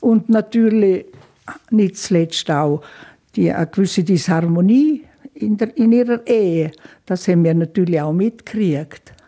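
An older woman with a Swiss German accent, recalling her childhood in a thoughtful interview, with frequent fillers and soft laughs. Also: on the low side, unhurried. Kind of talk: reflective life-story interview.

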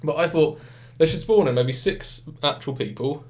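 A young man with a British accent hosts a casual gaming podcast, his voice a touch nasal and blocked up from a head cold. He rambles loosely, restarting sentences and acting out little quotes. His pitch sits a bit on the higher side for a man. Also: normal speed, darkish tone. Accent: British English. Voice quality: slightly nasal. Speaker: podcast host.

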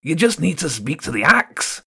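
A man voicing a squeaky, bossy rat leader with an axe, in a storybook reading. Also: very animated, moderate pace, vocal fry, pushed and bright, breathy edge, full character voice. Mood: smooth.

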